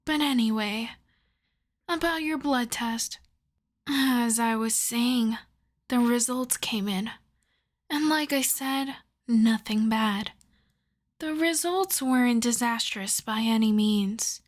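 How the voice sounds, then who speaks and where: A woman playing a polite, coyly obsessive vampire lab technician in an ASMR roleplay, speaking softly and courteously, often breathily, straight to the listener. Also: on the high side. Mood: reassuring, measured. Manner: soft-spoken, courteous, measured, often breathy.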